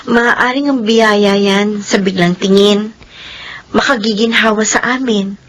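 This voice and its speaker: A woman's voice: mid-range voice, fairly even intonation, a little slow, a bit bright, close to an everyday voice. A Filipino woman playing an anguished, devoted young single mother in a radio drama, speaking with tearful, pleading emotion. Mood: hesitant resolve.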